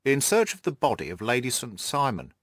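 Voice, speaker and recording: A man voicing a gruff, irritable, cocksure police detective in an audiobook, blustering and snappish. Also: medium pitch, big pitch swings, brisk, fairly bright tone, dry recording, clean studio sound. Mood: frustrated. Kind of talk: audiobook character dialogue.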